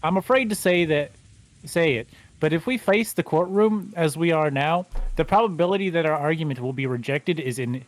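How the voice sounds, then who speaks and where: A man's voice: a little high, normal speed, dry recording, close to an everyday voice, poor audio. Man playing a stiff, stumbling lawyer. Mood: grave. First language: not Japanese. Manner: stiff, stumbling formal delivery. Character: formal middle-aged male lawyer. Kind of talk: fan livedub of a visual novel.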